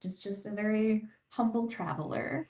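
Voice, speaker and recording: A woman's voice: mid-range voice, moderate pace, dark tone, echoey room, highs cut off. A woman at a tabletop game, describing her character hesitantly with many fillers. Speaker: player. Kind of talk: casual chat.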